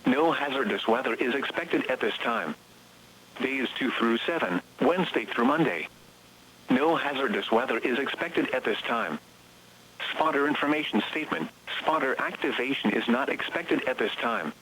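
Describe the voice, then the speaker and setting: A man's synthetic voice reading scripted automated weather bulletins in a flat, measured way, as heard on a weather radio broadcast. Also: medium pitch, slight background noise. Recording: weather radio broadcast, slightly muffled sound.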